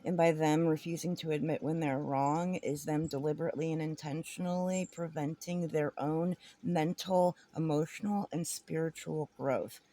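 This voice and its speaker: A woman's voice: sits low, steady tone, moderate pace, few pauses, warm tone, no echo. A woman talking casually and bluntly in an informal, rambling way, sharing her opinions. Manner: blunt, informal, giggles often between phrases. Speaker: vlogger giving opinions.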